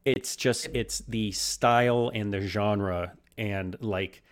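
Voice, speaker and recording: Co-host, man, 30s, lowish voice, loose rambling delivery with restarts. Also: steady tone, slow pace. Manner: rambling, self-interrupting, chuckling. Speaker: podcast co-host. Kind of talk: casual chat.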